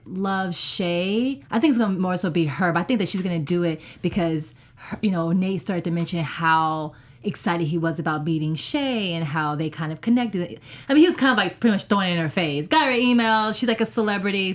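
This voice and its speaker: A woman's voice: on the low side, brisk. A woman chatting casually as a TV recap panelist, rambling and circling back over her phrases. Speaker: talk show panelist.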